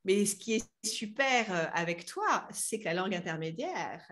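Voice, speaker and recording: A woman's voice: mid-range voice, sing-song, moderate pace, fairly bright tone. A French woman hosting an interview, chatting easily with lots of fillers and laughter. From France. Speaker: host and French teacher. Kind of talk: friendly interview chat.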